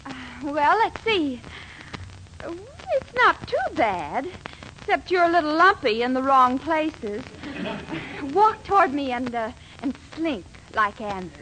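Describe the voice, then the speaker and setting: A woman's voice: sits high, sing-song, measured pace, fairly bright tone, exaggerated voice. An actress playing a bossy, wheedling, theatrical young girlfriend in a 1940s radio comedy, coaxing and commanding by turns. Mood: fussing. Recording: noisy old 1940s radio recording, studio audience.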